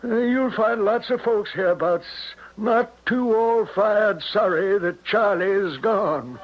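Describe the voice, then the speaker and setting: An actor playing a folksy, hesitant small-town innkeeper, uneasy and defensive, in a 1970s radio drama. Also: male voice, high voice, slow pace, music in the background. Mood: indignant. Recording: dull, muffled 1970s radio recording.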